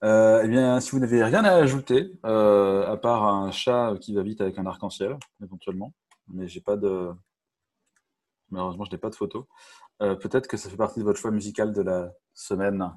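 A man's voice: fairly low pitch, moderate pace, darkish tone. A man hosting a podcast in a casual, informal, joking manner. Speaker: podcast host.

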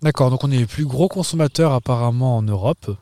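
A man co-hosting a casual podcast from a town square. He is playful and teasing and laughs easily. He has an average-pitched male voice. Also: fairly quick, dark tone, no echo. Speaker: podcast co-host. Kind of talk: casual chat.

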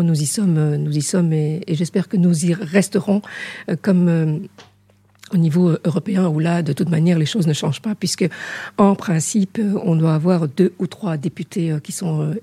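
A woman politician originally from Morocco answering interview questions in a measured, hesitant way, pausing often between phrases. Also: fairly low pitch, mellow, husky, no echo. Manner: measured, hesitant, with frequent pauses. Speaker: politician guest.

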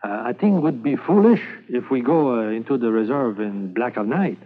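An actor playing a fearless, charming French Mountie tracker with a French accent, speaking halting broken English full of hesitations in a 1950s radio drama. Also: male voice, mid-range voice, animated, lightly acted. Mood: planning. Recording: thin phone-like old radio transcription.

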